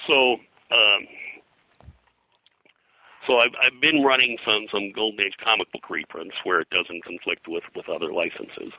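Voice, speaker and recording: A man speaks in a deep register with a very bright, forceful edge and a gravelly fry. He explains history conversationally as an interview guest, in a halting way that is packed with detail. The sound is dry and close. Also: no echo, low-quality recording. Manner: halting, detail-heavy, informative. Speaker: interviewed publisher and pop-culture historian.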